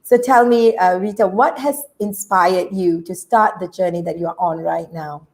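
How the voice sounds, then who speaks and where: A woman hosting an online interview, excited and bubbly in her delivery. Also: lowish voice, normal speed. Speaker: host.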